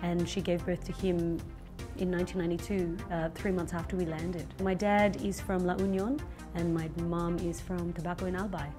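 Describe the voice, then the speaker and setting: A woman in her thirties giving a chatty, animated interview with frequent fillers. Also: lowish voice, moderate pace, over music. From Philippines-born, raised in Zambia and Australia. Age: 30s. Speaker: interviewee, stage actress. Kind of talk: conversational interview.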